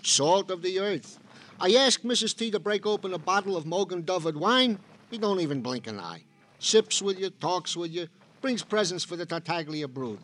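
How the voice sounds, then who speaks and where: An actor playing a genial, pompously wordy police sergeant in an old radio crime drama, with deliberate, formal phrasing. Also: male voice, high-pitched, expressive, a bit bright. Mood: genial, florid. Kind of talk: 1940s-50s radio drama comic-relief acting. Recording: noisy old radio recording.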